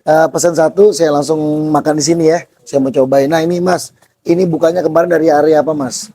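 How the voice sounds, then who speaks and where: A hoarse man, excitedly vlogging about street food outdoors at a stall and calling his viewers "guys". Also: fairly high pitch, brisk. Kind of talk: casual street-food vlog.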